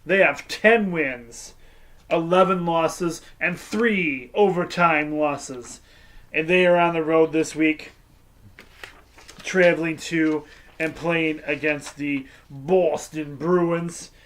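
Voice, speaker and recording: A man's voice: a little high, steady tone, slow, a bit bright. A middle-aged man hosting a casual sports preview show, rambling and hesitant, with a lot of fillers. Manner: rambling, hesitant, breaks into goofy sung tunes.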